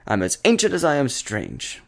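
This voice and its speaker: A man voicing an eccentric, cheeky chimera prince from a fantasy story, theatrical and teasing, with a smooth voice. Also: mid-range voice, wildly swooping pitch, moderate pace, pitched above the performer's own voice, exaggerated voice. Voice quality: smooth voice with an oddly placed accent.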